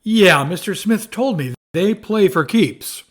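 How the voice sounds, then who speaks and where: A man playing an excitable, incredulous newspaper reporter in an audio drama. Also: high-pitched, slow pace, exaggerated voice. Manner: exclamatory, incredulous.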